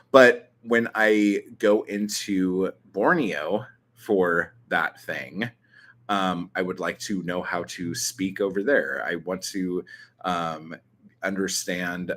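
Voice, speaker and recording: A man's voice: sits low, takes it slowly, a bit bright. A young man from Southern California with that accent, chatting casually in a vlog, rambling with plenty of ums and likes and the odd swear. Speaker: channel host answering viewer questions.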